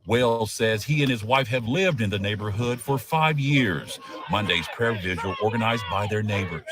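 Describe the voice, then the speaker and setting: A man's voice: lowish voice, lively intonation, normal speed, noisy background. A man narrating a scripted television news report.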